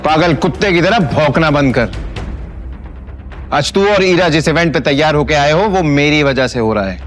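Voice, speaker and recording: A man's voice: fairly high pitch, expressive, normal speed, faint music behind, natural voice. A man playing a defiant, swaggering underdog husband in an audio drama, talking with scorn. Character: defiant, cocky underdog husband with secret power. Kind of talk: Hindi audio drama acting.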